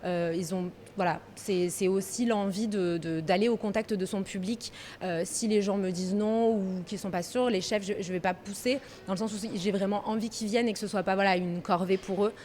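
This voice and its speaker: A woman's voice: mid-range voice, fairly even intonation, a little fast, hardly any pauses, darkish tone, a little hiss or hum. A woman in her thirties from Marseille, a pastry workshop manager, talking easily and a little hesitantly in a casual interview. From Marseille, France. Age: 30s. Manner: easygoing, hesitant, thinks aloud.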